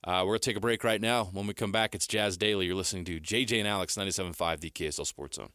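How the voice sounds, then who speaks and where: A low-pitched man with a bright, forceful edge hosts a sports radio show from the studio. He talks briskly, steering the segments and rattling off news and injury reports. The sound is dry and close on a studio microphone. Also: smooth, dry recording.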